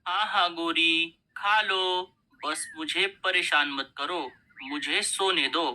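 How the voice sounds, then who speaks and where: A woman's voice: fairly low pitch, takes it slowly, pushed and bright, full character voice, rough recording. A woman voicing a lazy, grumpy male bird in a children's cartoon, with a dismissive drawl. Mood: dismissive, sleepy. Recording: noticeable background noise.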